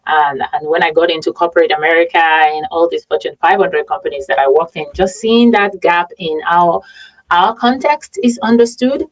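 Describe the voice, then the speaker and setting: A woman's voice: on the low side, normal speed, rough recording. A woman with a Nigerian accent hosting a conversational interview in a reflective, affirming way. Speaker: podcast host and consultant.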